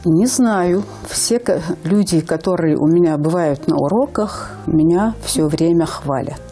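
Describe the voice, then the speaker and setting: A woman's voice: fairly low pitch, a little slow, warm tone, soft background music. A woman in her fifties from Ingushetia, a language teacher speaking earnestly as a guest on a TV morning show, with hesitant fillers. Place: TV studio.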